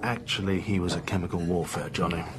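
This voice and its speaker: An actor playing a condescending British intelligence chief in a radio drama, urbane, clipped and patronising. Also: male voice, deep voice, fairly even intonation, quick pace, noisy background, lightly acted. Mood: brisk, authoritative. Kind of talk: broadcast radio drama acting.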